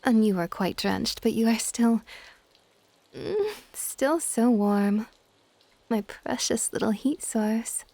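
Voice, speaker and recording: A woman playing a possessive, affectionate dragon goddess in an ASMR audio roleplay, with a soft, whispery, teasing and intimate delivery. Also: lively intonation, no room sound, exaggerated voice. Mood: affectionate, doting. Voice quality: soft, breathy whispering mixed with low-volume speech. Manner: doting, teasing, unhurried.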